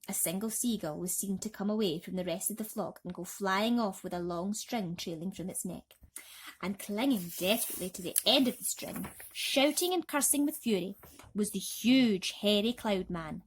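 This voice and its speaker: Female storybook narrator, dramatic and suspenseful, expressive bedtime reading at a natural middle pitch. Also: moderate pace, husky.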